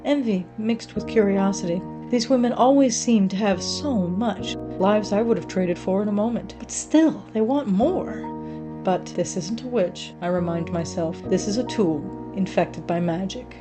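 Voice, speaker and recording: A woman narrates a horror tale in the first person with music behind her. Her delivery is cold, clinical and menacing, and her pitch sits in a medium range. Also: unhurried.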